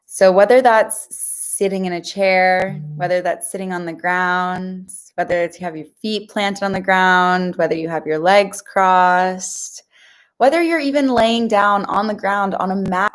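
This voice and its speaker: A woman guides a mindfulness meditation in a slightly low voice. She speaks very slowly, with a gentle, informal and reassuring delivery aimed straight at the listener.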